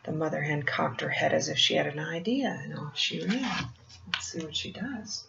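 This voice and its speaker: Older woman reading a storybook, creaky voice. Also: deep voice, moderate pace. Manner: gentle teacherly asides, asking the child questions. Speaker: grandmother storyteller reading to children. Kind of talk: picture-book read-aloud with explanatory asides. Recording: muffled, roomy home recording with faint noise.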